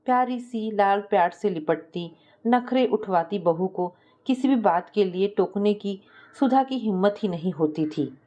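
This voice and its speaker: A woman storyteller reads a scripted domestic tale. Her voice is mellow and gentle on the ear, at an average female pitch. She voices the dialogue within her narration. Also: expressive, measured pace, lo-fi sound.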